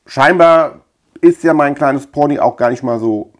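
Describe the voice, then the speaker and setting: A man's voice: on the high side, a little slow. A middle-aged man from Germany chatting informally through a collection show-and-tell, rambling and repeating words as he goes. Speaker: video collector and channel host. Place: indoor room lined with shelves of tapes.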